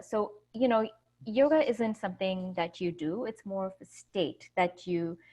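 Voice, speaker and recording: A woman from India in her thirties, a yoga teacher talking casually over a video call, laughing easily between fillers. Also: medium pitch.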